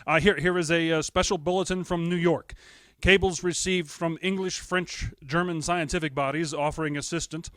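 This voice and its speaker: A man's voice: a little high, fairly even intonation, normal speed, fairly bright tone, dry recording, lightly acted. A man playing a stumbling news announcer. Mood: urgent. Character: male radio announcer reading urgent bulletins. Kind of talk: amateur radio drama.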